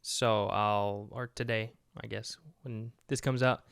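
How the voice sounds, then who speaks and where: A young man chats casually with his co-host, asking questions and keeping his replies short and relaxed. His voice is smooth and clear, recorded close and dry. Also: lowish voice, slow, no echo. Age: young adult. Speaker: podcast co-host. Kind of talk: casual chat.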